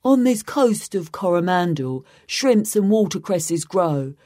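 A lonely little storybook creature with an oversized head, voiced by a woman reader pleads earnestly in a warm, mellow tone. The delivery is a touch raised above plain narration. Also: fairly low pitch, big pitch swings, slow, voice pushed up, full character voice. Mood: pleading.

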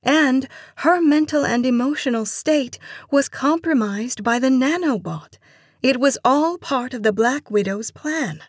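A woman voicing a meek, polite but resolute immigrant woman in an audiobook story, soft-spoken and pleading. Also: medium pitch, slow, slightly rough, dry recording, clean studio sound, voice pushed up. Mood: earnest, firm.

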